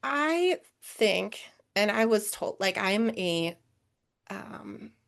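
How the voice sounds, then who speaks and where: A woman's voice: medium pitch, expressive, very slow, gravelly, fairly bright tone. A woman with a Minnesotan accent presenting a webinar over a video call, answering questions in a chatty way with many fillers. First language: English.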